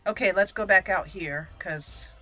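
A woman's voice: medium pitch, unhurried, fairly bright tone, soft background music. A woman hosting a casual let's play, chatty and reactive, thinking aloud as she plays. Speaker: gaming channel host.

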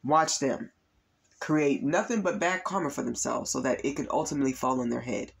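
A man with a raspy voice from allergies and overuse, earnestly giving a spiritual tarot reading to his viewers. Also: medium pitch, normal speed. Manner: earnest, repetitive, addresses viewers as you guys. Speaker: tarot reader and spiritual coach. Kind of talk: spiritual reading monologue to camera.

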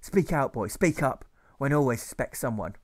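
A man voicing a furious, authoritarian old schoolteacher who yells and rants in old-fashioned speech. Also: mid-range voice, expressive, normal speed, natural voice. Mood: demanding. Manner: ranting, yelling, archaic speech.

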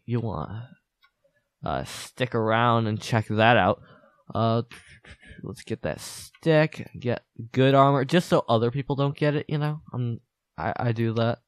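A man's voice: mid-range voice, no room sound. A young man with a stuffed-up nose giving playful, boastful commentary while playing a game. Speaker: gaming channel host. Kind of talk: casual gameplay commentary.

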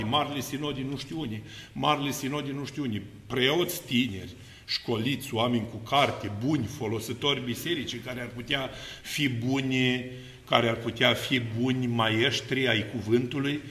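A middle-aged man, an Orthodox priest, preaching an unscripted sermon with emphatic swings between low musing and loud exclamations. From Romania. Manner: animated hand gestures while seated. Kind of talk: extemporaneous sermon. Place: seated before an iconostasis in a church. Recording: echoey church hall.

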